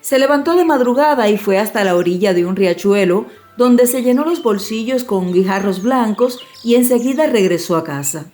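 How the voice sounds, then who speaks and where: A woman narrating a fairy tale as a scripted audiobook, in a measured, expressive storytelling manner. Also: medium pitch, faint music behind.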